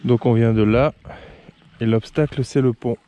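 A man filming from his kayak outdoors on a river, talking in a casual, excited way and swearing now and then, picked up by an action camera on the boat. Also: mid-range voice, normal speed, muffled recording. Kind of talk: casual outdoor vlog chat. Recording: loud river and wind noise.

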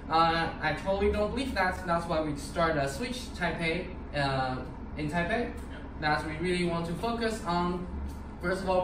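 A young man from Taiwan, teaching a partner dance class in a casual, upbeat way, with fillers and restarts. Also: on the high side. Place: large empty dance studio. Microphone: far camera. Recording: echoey studio from far off, noisy.

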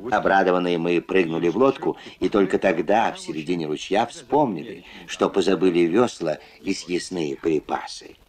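A man's voice: low-pitched, moderate pace, hardly any pauses, darkish tone, close to an everyday voice. A man voicing a small plush teddy bear boy who tells his adventure in a children's cartoon. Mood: friendly storytelling. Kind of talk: storytelling in a children's puppet cartoon. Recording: old film soundtrack with music.

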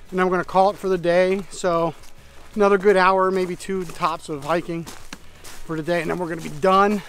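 Chatty man vlogging in the woods. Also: high-pitched. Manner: relaxed, chatty, thinking aloud with fillers. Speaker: backpacking vlogger. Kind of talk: casual trail vlog narration. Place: outdoors in a forest. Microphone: camera at close range.

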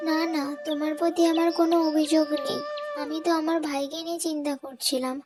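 A woman voicing a gentle, wronged young stepdaughter in a cartoon moral story, earnest and pleading. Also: sits high, steady tone, quick pace, music in the background.

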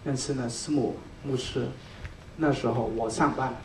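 A man's voice: medium pitch, steady tone, moderate pace, darkish tone, slight background noise. Earnest man speaking in an echoey hall. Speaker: church member giving a testimony. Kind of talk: personal testimony. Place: large church hall indoors. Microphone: handheld mic, picked up from a distance. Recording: echoey hall recorded from far off.

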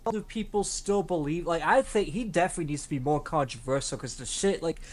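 A man's voice: fairly high pitch, a little fast, slight background noise. A man co-hosting a podcast on an online call, chatting casually in a blunt, sweary, repetitive way. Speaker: podcast co-host. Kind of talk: casual chat.

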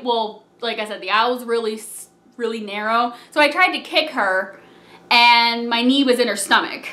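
A young woman telling a school story in a casual storytime vlog, animated and chatty, laughing often and drifting off topic. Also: a little high. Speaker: vlogger. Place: small room, seated on a couch indoors.

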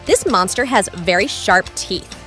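Lively woman quiz host over music. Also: medium pitch, measured pace. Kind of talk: scripted quiz narration.

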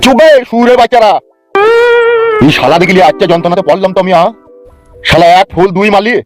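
Man as hot-headed, foul-mouthed cartoon uncle, very rapid high-pitched bluster over music. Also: expressive. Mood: angry. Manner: blustering, crude slang, wheedling speeches.

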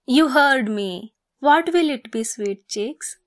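A woman playing a teasing, affectionate young woman in love in an ASMR romance roleplay, speaking softly and playfully, at times breathily. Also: sits high, expressive, takes it slowly, dry recording, clean studio sound.

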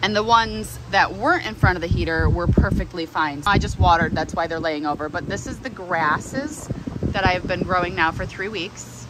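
A woman's voice: on the low side, normal speed. A woman in her thirties giving a casual, enthusiastic vlog tour on a handheld mic in a greenhouse with running fans. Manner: chatty, enthusiastic. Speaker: plant nursery owner and vlog host. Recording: noisy fans, handheld camera audio. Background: steady fan noise.

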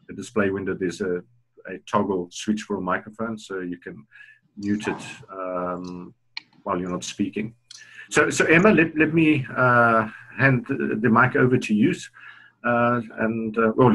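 A man's voice: fairly low pitch, fairly even intonation, moderate pace, fairly bright tone, slightly rough, rough recording. A middle-aged man hosting a video-call webinar on a headset, easygoing, with many "uh" fillers. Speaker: webinar host.

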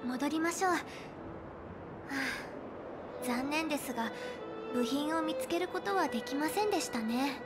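A woman's voice: high voice, normal speed, pauses often, natural voice. A woman voicing a polite, earnest, studious young female officer in a Japanese anime game, speaking formally and carefully. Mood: mildly regretful. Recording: clean studio voice over game music.

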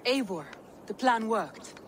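An actress playing a proud, steady female Danish clan leader in a video game, authoritative and controlled. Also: a little high, takes it slowly, a little hiss or hum. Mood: brisk, pleased.

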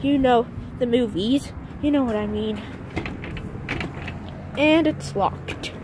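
A high-voiced woman narrates a casual walk-around video as she films. She speaks slowly and haltingly, often leaving sentences unfinished. The handheld outdoor recording has clear background noise. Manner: halting, trailing off mid-sentence. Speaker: amateur vlogger. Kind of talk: casual walk-through commentary. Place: outdoors in an empty parking lot. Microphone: handheld camera held by the speaker. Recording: noisy outdoor handheld camera audio.

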